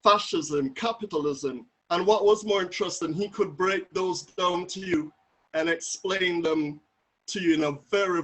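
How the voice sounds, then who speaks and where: A man giving a tribute to his late father over a video call, reminiscing in a relaxed, conversational way with frequent fillers. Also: on the high side, slightly rough, poor audio. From Jamaica. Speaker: son giving a memorial tribute. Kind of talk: personal spoken tribute. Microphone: video call mic.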